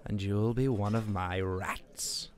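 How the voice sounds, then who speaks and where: A slow-talking villain of radio drama. He is a greedy backstreet weapons dealer, sly and theatrical, who drags out his threats and sales talk alike. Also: fairly low pitch, steady tone. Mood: menacing. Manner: sly, theatrical, mangles plurals.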